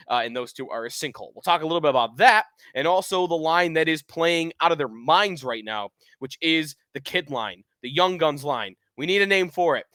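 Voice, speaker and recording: A man hosting a sports podcast, talking in an energetic, opinionated, chatty way while getting over a cold and losing his voice. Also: fairly high pitch, no echo. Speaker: podcast host.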